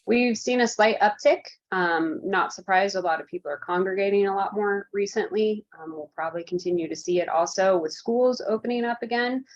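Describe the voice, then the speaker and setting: A woman's voice: mid-range voice, a little fast, few pauses. A woman giving an informal update on a conference call. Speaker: public health lab presenter. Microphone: conference call connection. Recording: slightly dull conference call audio.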